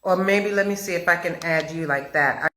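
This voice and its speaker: A woman's voice: on the low side, normal speed, a bit bright. A woman hosting a casual live-stream chat interview over a video call, talking in an effusive, gushing way. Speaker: host of a live chat interview, audio drama maker.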